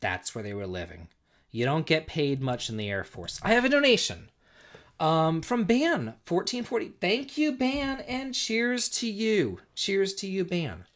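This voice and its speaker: A man's voice: sits high, big pitch swings, unhurried, fairly bright tone. A man in his forties hosting a casual livestream, a bit tipsy, rambling and repeating himself while telling stories and reading chat. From United States. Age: 40s. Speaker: livestream host.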